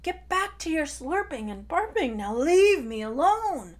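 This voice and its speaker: A woman voicing a blustering, bossy male Tyrannosaurus big brother in a storybook, with loud, growling roars. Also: fairly high pitch, takes it slowly, creaky, exaggerated voice.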